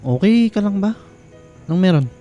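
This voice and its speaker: An actor playing a reserved, supportive young student in a radio drama, with a Filipino accent and halting delivery. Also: male voice, sits high, lively intonation, warm tone, faint music behind. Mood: guarded concern. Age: young adult. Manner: hesitant, halting. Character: Liam, reserved young male student, quietly supportive friend. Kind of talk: radio drama acting with English code-switching.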